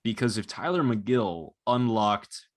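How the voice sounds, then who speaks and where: Low-voiced young male podcast host. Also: expressive, takes it slowly. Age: 20s. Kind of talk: casual analytical sports chat.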